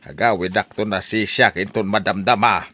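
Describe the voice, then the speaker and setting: A man's voice: lowish voice, steady tone, normal speed, loud music behind the voice, close to an everyday voice. A man with an Ilocano accent playing a grumbling, whiny old village father in a comedy audio drama, exaggerated and complaining.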